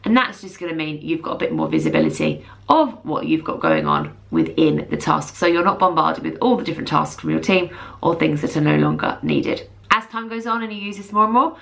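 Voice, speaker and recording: A woman in her thirties presenting a software tutorial, explaining plainly step by step. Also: deep voice, creaky. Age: 30s. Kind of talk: instructional screen walkthrough.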